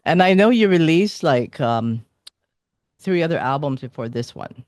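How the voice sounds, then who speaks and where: A man hosting a casual music interview, forming his questions haltingly and repeating phrases. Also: on the high side, expressive, no echo, studio quality. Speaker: interviewer, music show host.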